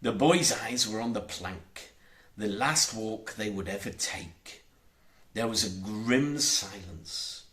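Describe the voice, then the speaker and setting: A man reading a children's storybook aloud as an audiobook narrator, in a theatrical, expressive way. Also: lowish voice, slow. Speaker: audiobook reader.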